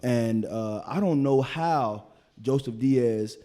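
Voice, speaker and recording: Mellow-voiced man, rambling boxing talk. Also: mid-range voice, takes it slowly. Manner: rambling, repeats words as he thinks. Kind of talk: casual boxing talk show.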